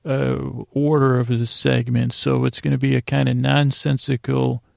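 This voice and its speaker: A man hosting a sleep podcast in a soothing, rambling bedtime monologue, hesitant and meandering, with long pauses and stammered restarts and a gravelly voice. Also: medium pitch, level tone, a little mellow, dry recording. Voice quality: creaky, gravelly. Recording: faint music under a dry voice.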